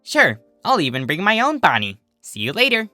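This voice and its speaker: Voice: medium pitch, wildly swooping pitch, moderate pace, bright, forceful tone, no room sound, faint music behind, voice pushed up. A narrator voicing a friendly, innocent and carefree female sea pony in a breezy, cheerful storybook style.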